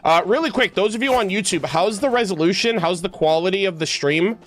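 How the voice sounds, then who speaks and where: A man's voice: high-pitched, fairly quick, slight background noise. A man streaming, chatting casually and rambling as he thinks aloud to his viewers. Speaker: livestreamer, host. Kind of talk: casual livestream chat. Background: music.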